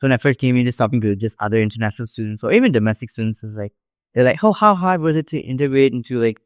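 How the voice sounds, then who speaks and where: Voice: medium pitch, racing, no echo, studio quality. Chatty young Malaysian podcast host. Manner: chatty, frequent 'like'. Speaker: podcast host and international student. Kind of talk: casual podcast chat.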